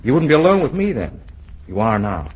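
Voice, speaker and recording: An actor playing a lovelorn, wry young man with a halting manner in an old-time radio drama. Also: mid-range voice, huge pitch swings, husky, narrow, tinny audio. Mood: wistful, resolute. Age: young adult. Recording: noisy old radio recording.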